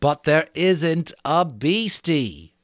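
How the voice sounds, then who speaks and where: A man's voice: on the high side, expressive, extremely slow, dry recording, clean studio sound, pitched above the performer's own voice. A man voicing a confident, cheerful schoolboy leader, speaking emphatically as if to a group in a dramatic audiobook reading. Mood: dismissive.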